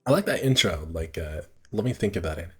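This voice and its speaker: Deep voiced guy kinda husky, reading a visual novel on stream, trips over words, music playing behind. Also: animated. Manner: halting read-aloud with stumbles and asides.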